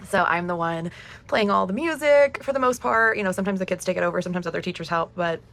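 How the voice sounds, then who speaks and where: Hoarse, gravelly-voiced chatty woman. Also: lowish voice, fast, a bit bright. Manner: chatty, upbeat, laughs often. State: losing her voice, hoarse. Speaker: cash budgeting vlogger. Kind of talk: casual chat to camera.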